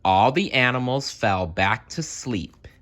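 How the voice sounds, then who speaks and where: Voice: medium pitch, lively intonation, slow, a bit bright. A storybook reader narrating expressively for young children and addressing the listeners directly. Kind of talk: animated children's read-aloud narration.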